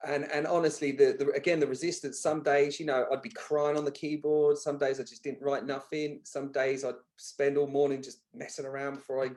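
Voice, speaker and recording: A man's voice: mid-range voice, little pitch movement, fairly quick, hardly any pauses, a little mellow. A man speaking as a podcast guest, telling candid personal stories in a colloquial, emphatic way. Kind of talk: candid conversational storytelling.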